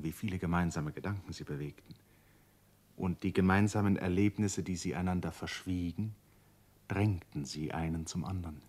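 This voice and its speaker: Male narrator for radio play, very low voice, measured and serious literary delivery.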